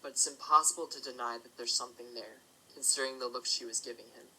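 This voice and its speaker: A man playing a protective, principled stallion father in an audio drama, speaking in a measured, firm way. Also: mid-range voice, fairly even intonation, crowd noise, natural voice, rough recording. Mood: calm, resolute.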